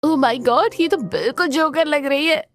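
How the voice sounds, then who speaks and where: A woman's voice: sits high, unhurried. A woman voicing a spiteful, snobbish young older sister in a Hindi cartoon, with an exaggerated, sneering manner. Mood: mocking. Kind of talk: Hindi family cartoon voice acting.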